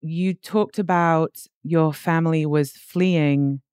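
A woman's voice: deep voice, fairly even intonation, takes it slowly, a little mellow, clear, resonant tone, no echo. A woman interviewing a guest, asking attentive, probing questions. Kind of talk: conversational interview.

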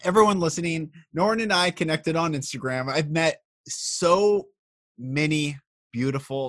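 A man's voice: medium pitch, no room sound. Earnest male podcast host, dry sound. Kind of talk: spiritual podcast interview.

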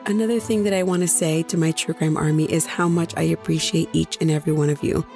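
A woman hosting a true crime podcast, hoarse after nearly losing her voice, chatting directly with her listeners. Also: deep voice, steady tone, quick pace, mellow, faint music behind. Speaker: podcast host.

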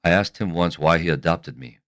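Actor as a very deep, grim Korean gangster. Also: male voice, fairly quick, fairly bright tone, no room sound, clean studio sound. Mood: grim, reflective. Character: grim Korean gangster recalling his orphan past. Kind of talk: dramatic scripted monologue.

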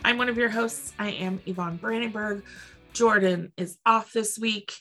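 A woman podcast host with a bright, forward voice and a slightly stuffy nose. She chats casually at a medium pitch and animatedly acts out little imagined quotes as she goes. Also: steady tone, moderate pace, soft background music. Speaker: veterinary technician. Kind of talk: casual chat.

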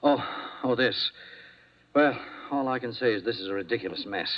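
An actor playing a nervous, fussy doctor in 1950s radio drama, speaking hesitantly and formally. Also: male voice, medium pitch, normal speed, close to an everyday voice. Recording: muffled old radio broadcast.

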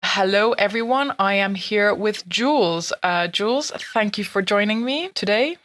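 A woman's voice: medium pitch, moderate pace, fairly bright tone. A woman hosting a podcast interview in a casual way, with frequent "uh"s and restarts. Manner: frequent filler words and restarts. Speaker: podcast host. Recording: dull lo-fi recording.

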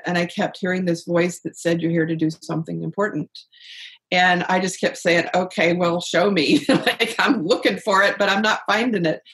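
A middle-aged woman speaking earnestly and reflectively as an interview guest about purpose and spirit, with soft laughs. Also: lowish voice. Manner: earnest, searching, laughs softly. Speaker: guest, author on life purpose.

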